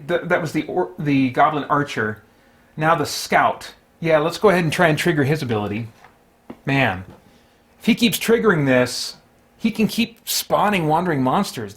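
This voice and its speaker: A man with a slightly high voice hosts a solo tabletop game session. He gives casual running commentary, talking through each move out loud as he works out what to do. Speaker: host of a solo tabletop gaming stream.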